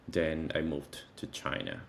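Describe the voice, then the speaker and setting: Male, 30s, Venezuelan accent, native Spanish speaker, deep register, halting conversational interview delivery with repeated phrases. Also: lively intonation, gravelly, a bit bright. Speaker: interview guest, content creator.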